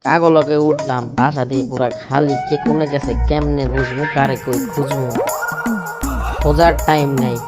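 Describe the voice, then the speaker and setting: Young cartoon bachelor, voice-acted, sing-song, self-pitying, mid pitch, heavy music bed. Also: fairly even intonation, moderate pace, close to an everyday voice. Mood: plaintive. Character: lonely young male bachelor who sings and raps. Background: loud cartoon background music.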